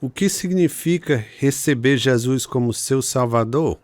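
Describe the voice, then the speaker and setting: An actor with a Brazilian accent playing a curious, doubtful brother in a radio drama. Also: male voice, mid-range voice, a little slow, no room sound, lightly acted. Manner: questioning. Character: curious, doubtful twin brother of a new convert.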